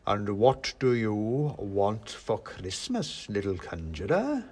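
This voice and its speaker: A man's voice: fairly low pitch, wildly swooping pitch, darkish tone, slightly rough, heavily acted. Man acting a big, menacing Father Christmas. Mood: mock jolly. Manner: mocking, deliberate. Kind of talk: storybook character voice.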